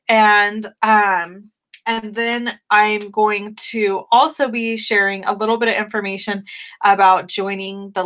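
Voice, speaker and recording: A middle-aged woman, a little raspy from a cold, hosting a casual live stamping tutorial and greeting viewers by name. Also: mid-range voice, a bit bright.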